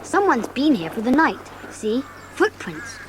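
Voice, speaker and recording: A woman's voice: fairly high pitch, big pitch swings, a little slow. A woman playing a reluctant but curious schoolboy in a dramatised audiobook, with old-fashioned, questioning speech. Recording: clear background ambience noise.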